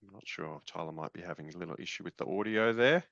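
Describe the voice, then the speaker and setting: A man hosting introductions on a video call, halting with many fillers, over dull lo-fi call audio. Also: low-pitched, gravelly, fairly bright tone, dry recording. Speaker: program leader and host.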